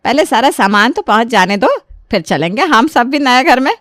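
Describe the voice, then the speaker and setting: A woman's voice: fairly high pitch, expressive, normal speed, a bit bright, dry recording, studio quality. Woman playing a bossy, fussy older mother-in-law. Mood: eager, chiding. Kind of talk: animated Hindi family story dialogue.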